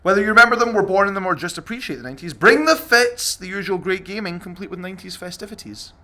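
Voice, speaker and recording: A man's voice: sits high, expressive, fast, pushed and bright. A man hosting a casual group podcast, chatting and reading out announcements in a jokey, self-deprecating way. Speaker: podcast host.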